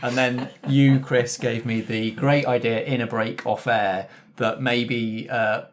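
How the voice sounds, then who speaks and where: A man's voice: medium pitch, steady tone, slow pace. A British man, the game master, talking casually through a tabletop game in long, looping explanations.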